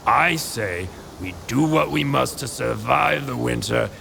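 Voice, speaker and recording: An actor playing a cautious, stubborn townsman in an audio drama, speaking with emphatic oratory and a gravelly voice. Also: medium pitch, expressive, measured pace, sharp tone, a little hiss or hum, exaggerated voice. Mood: grave, urging. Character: cautious, stubborn townsman urging appeasement and survival.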